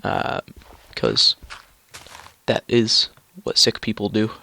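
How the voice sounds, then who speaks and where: A man's voice: fairly low pitch, sing-song, slow pace, gravelly, sharp tone. A young man with a stuffy, nasal cold, rambling casually through gameplay commentary with lots of ums and restarts. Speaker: gaming YouTuber.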